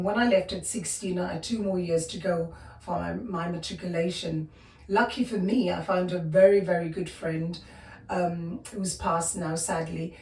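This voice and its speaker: A woman in her fifties with a South African accent recounts her childhood, circling back through fillers and restarts. Her voice sits low and comes from a little way off the mic. The room rings with echo. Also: a little mellow, recorded from a distance. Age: 50s. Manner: hesitant, many fillers and restarts. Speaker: guest. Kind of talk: personal storytelling interview.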